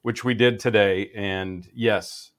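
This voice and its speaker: A man co-hosting a casual show, tossing in joking asides and quick remarks. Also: on the low side, steady tone. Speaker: podcast co-host. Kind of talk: casual banter.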